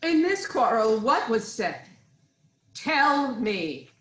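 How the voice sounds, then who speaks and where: Commanding ancient Greek queen, played by an actress, high voice, slow deliberate delivery, roomy echo, stage tragedy. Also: sing-song. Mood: urgent, questioning. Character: commanding queen of Thebes, scolding yet reassuring mediator.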